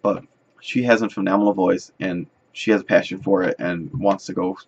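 A man in his twenties chatting casually to camera as a vlogger, telling his story in a rambling, halting way on a dull, lo-fi recording. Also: low voice, steady tone, creaky, breathy edge. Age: 20s. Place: indoors in front of a draped backdrop. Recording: dull, lo-fi webcam-style sound.

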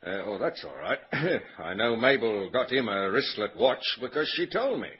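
An actor playing an older Australian country farmer and father who talks haltingly, full of hesitations, in a dull old 1930s radio recording. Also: fairly low pitch, sing-song, a little hiss or hum. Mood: plain.

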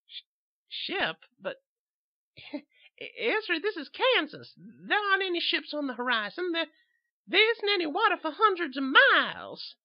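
A man voicing a timid, fretful old spinster, speaking in a stammering, pleading falsetto. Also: wildly swooping pitch, measured pace, long pauses, highs cut off, exaggerated voice. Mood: puzzled.

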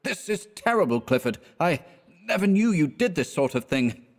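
A man's voice: a little high, huge pitch swings, normal speed, in a large hall, pitched above the performer's own voice. A man voicing a shocked, earnest young man who sounds anxious and pleading. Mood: distressed.

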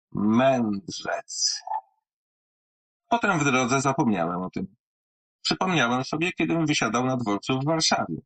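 A man reading and presenting literature in a theatrical, wry manner, with deliberate pauses and a husky voice. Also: mid-range voice, a bit bright, poor audio.

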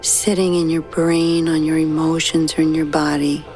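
A woman guiding a sleep meditation in a slow, soothing, hushed manner with long pauses. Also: deep voice, flat delivery. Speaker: meditation guide. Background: soft background music.

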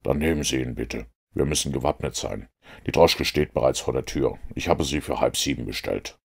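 A man's voice: extremely low pitch, moderate pace, vocal fry, husky, exaggerated voice. A man reading the part of a self-assured, analytical detective in an audiobook, speaking precisely and with command. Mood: brisk, commanding.

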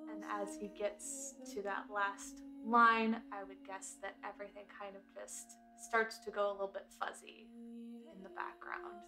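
A woman playing a tabletop game, improvising descriptive narration in measured short phrases with pauses. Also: mid-range voice.

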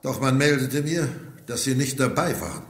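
An actor playing a senior commander in an audio drama, gravelly, measured and polite, with concerned authority. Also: male voice, mid-range voice, echoey room, slight background noise, close to an everyday voice. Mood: concerned, firm. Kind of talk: German audio drama acting.

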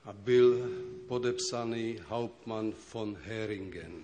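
Man, court witness, measured plain statements, reverberant hall, light tape hiss. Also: on the low side. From Czech lands (Sudetenland). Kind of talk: courtroom testimony. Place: large courtroom.

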